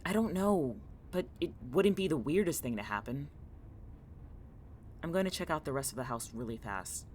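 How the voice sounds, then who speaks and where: A man's voice: fairly high pitch, expressive, normal speed, a little mellow, a little hiss or hum, lightly acted. A man playing a guarded, irritable but protective young student in an audio drama, speaking in a blunt, dry, terse way. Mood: wary, terse.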